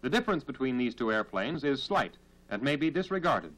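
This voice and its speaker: An American man narrating a 1940s military training film in a formal, measured, instructional manner, on a period film soundtrack. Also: medium pitch, very animated, a bit bright, poor audio.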